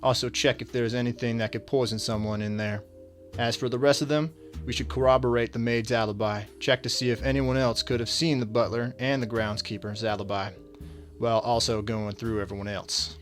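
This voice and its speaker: Male detective, acted by a man for radio drama: medium pitch, businesslike, tired of it all, firm delivery. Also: fairly even intonation, fairly quick, soft background music, close to an everyday voice. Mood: probing, matter-of-fact. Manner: firm, matter-of-fact. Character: weary, businesslike male detective questioning suspects.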